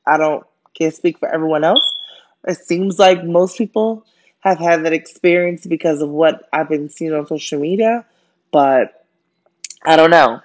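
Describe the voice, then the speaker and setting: Low-voiced chatty Jamaican woman podcaster. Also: a little slow, lo-fi sound. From Jamaica, raised in Brooklyn. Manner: chatty, friendly, many fillers and "okay?" check-ins. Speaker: podcast host. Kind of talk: casual solo podcast monologue.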